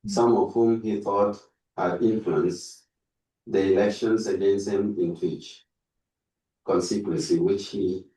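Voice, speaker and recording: A man reading a prepared tribute aloud at a conference, haltingly, stumbling over words and repeating them. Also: fairly low pitch, warm tone. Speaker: speaker reading out a written tribute. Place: indoor conference room. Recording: roomy echo, distant microphone.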